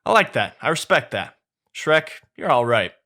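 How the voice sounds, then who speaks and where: A man's voice: mid-range voice, big pitch swings, normal speed, pushed and bright, pitched above the performer's own voice. A man voicing a chatty, pushy talking male donkey who rambles on eagerly and begs. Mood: gushing.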